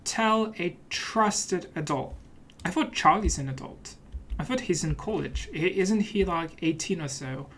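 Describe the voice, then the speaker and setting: A man's voice: high voice, lively intonation, unhurried, a bit bright, a little hiss or hum. A man hosting a let's play, giving casual commentary in a playful, mock-serious manner.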